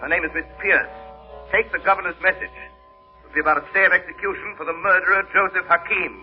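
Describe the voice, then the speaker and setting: An actor playing a mysterious, resolute crime fighter with a measured, intense manner in 1940s radio drama style. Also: male voice, sits high, steady tone, close to an everyday voice. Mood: urgent, instructing. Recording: dull old radio recording, music behind.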